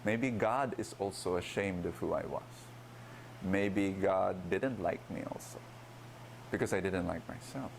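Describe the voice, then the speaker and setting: Very deep-voiced man, reflective testimony. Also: measured pace, creaky, a little hiss or hum, rough recording. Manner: reflective, repeating phrases. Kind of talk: personal faith testimony.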